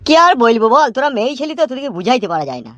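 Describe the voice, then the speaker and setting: A man's voice: very high-pitched, big pitch swings, racing, a bit bright, no room sound, full character voice. An actor voicing an anxious, scolding old cartoon father with a rural West Bengal Bengali accent, nagging and repeating words. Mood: exasperated scolding. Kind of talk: comic cartoon dubbing.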